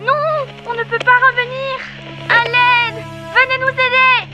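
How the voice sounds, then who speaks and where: A woman's voice: extremely high pitch, flat delivery, measured pace. A woman voicing a frightened little girl pleading for help through a walkie-talkie in a French cartoon dub. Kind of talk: French cartoon dubbing for young children. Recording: dull walkie-talkie sound under loud music.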